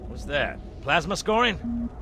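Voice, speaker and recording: Tough military sergeant, high forceful bark, wildly swinging pitch, salty and commanding, game cutscene. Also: male voice, very animated, measured pace, background noise. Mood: dry, wary. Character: tough, gruff marine sergeant barking at his squad. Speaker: voice actor. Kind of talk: dramatic video game cutscene acting.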